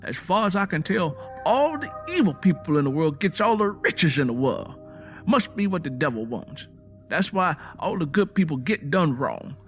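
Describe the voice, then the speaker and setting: A man's voice: fairly high pitch, sing-song, moderate pace, faint music behind, pitched above the performer's own voice. A man voicing a stern, embittered American Southern man with a blunt, defiant rural way of talking, in a narrated story. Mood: bitter, defiant.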